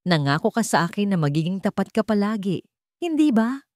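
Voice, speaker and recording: A woman voicing a calm, menacing man, a doting but dangerous partner in a storybook, controlled and softly probing. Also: medium pitch, fairly quick, no echo, clean studio sound, voice pushed up, natural voice. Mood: quietly menacing.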